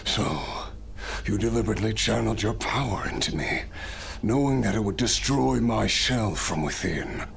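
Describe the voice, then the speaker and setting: A man voicing a grave, menacing warrior villain in a video game, with a gravelly, breathy, dramatic delivery. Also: lowish voice, expressive, normal speed, fairly bright tone, a little hiss or hum.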